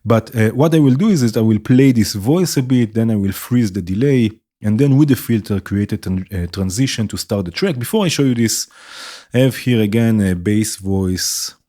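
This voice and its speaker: A man's voice: lowish voice, moderate pace. A man hosting a modular synth tutorial, explaining each step casually and often checking in with "right?". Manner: relaxed, explaining while patching, frequent "right?" checks. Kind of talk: casual demo narration. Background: synth music playing.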